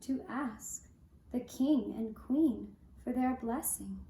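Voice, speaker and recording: A woman with a high voice narrates a children's story for a puppet show in an echoey room. Her delivery is extremely slow and gentle. She sets each phrase down deliberately, leaving space after it. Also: slight background noise. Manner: measured, deliberate phrasing with pauses. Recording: roomy, echoing indoor sound.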